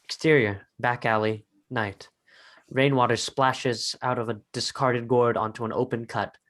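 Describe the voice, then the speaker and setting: A man's voice: medium pitch, steady tone, unhurried, fairly bright tone. A man narrating a script, reading out stage directions in short phrases.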